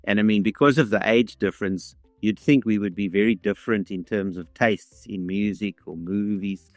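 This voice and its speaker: A man, telling his life story in a measured way with small pauses. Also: fairly low pitch, no echo. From South Africa. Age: 60s. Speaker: interviewee. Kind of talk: reflective storytelling.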